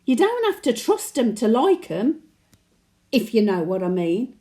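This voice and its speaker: A woman's voice: on the high side, big pitch swings, measured pace, warm tone. A woman playing a chatty, sentimental elderly Birmingham widow with a Brummie accent, reminiscing in a folksy, plain-speaking monologue. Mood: matter-of-fact, opinionated. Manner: folksy dialect, wistful and chatty. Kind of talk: dramatic monologue, conversational reminiscence.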